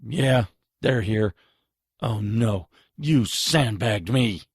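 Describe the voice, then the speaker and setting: An actor playing a seedy, suspicious older man in an audio drama, gravelly and contemptuous. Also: lowish voice, very slow, dry recording, studio quality, exaggerated voice. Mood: sly, accusing. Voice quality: gravelly, husky. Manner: wary, contemptuous.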